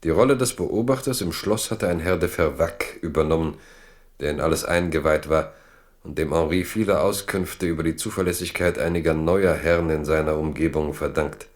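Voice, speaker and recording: An actor as a grave, all-knowing storyteller, giving measured, reflective literary narration in a historical radio play. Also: male voice, a bass voice.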